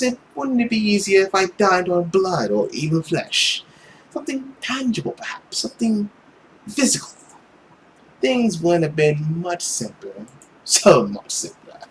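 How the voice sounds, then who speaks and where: Here is a man acting a tormented vampire villain from a visual novel. He is sneering and theatrical, drawing out his words with very wide swings of pitch. A faint noise sits behind the voice. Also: poor audio. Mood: cold, aggrieved. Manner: theatrical, drawn-out, sneering delivery. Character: bitter, anguished male vampire villain, mocking and theatrical.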